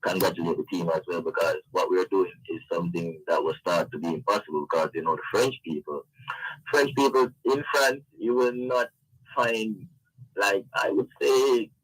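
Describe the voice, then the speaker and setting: Low, husky man chatting. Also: normal speed, poor audio. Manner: conversational, frequent "you know". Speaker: interview guest, event organiser. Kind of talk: casual interview chat.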